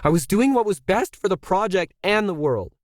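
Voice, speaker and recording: A man's voice: high voice, animated, normal speed, no echo, studio quality, pitched above the performer's own voice. A man voicing a middle-aged woman artist in a story, defiant and indignant, tightly holding back anger. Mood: controlled anger.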